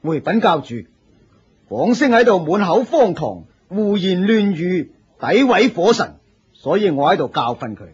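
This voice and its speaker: Stern, husky zealot senior disciple. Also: male voice, high voice, big pitch swings, measured pace, full character voice. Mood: angry, scolding. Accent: Hong Kong Cantonese. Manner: authoritative, dogmatic. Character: stern, pompous male eldest disciple, zealous and quick-tempered. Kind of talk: Cantonese radio drama acting. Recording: muffled lo-fi radio drama.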